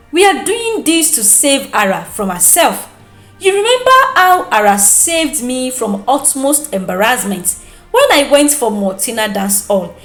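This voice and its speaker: A woman's voice: medium pitch, reverberant, lightly acted. A woman with a Nigerian accent voicing a scheming, nosy young female friend in a gossipy, theatrical, goading manner.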